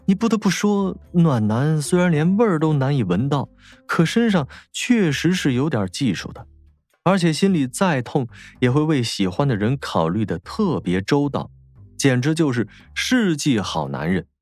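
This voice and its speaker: Animated male audiobook narrator. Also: a little high, moderate pace, no echo. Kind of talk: scripted dramatic narration of a novel. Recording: faint music behind.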